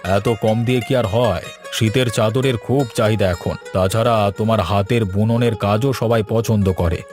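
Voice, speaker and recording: A man voicing a thrifty, grumbling middle-aged village trader in an animated moral story. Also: fairly low pitch, normal speed, soft background music, natural voice. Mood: businesslike.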